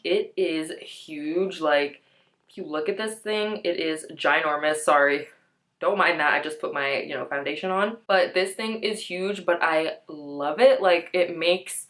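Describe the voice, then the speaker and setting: A woman's voice: on the low side, a bit bright. Nasal-sounding young woman with a cold. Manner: chatty, peppered with "like" and "um". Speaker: beauty vlogger. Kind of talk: casual chat to camera.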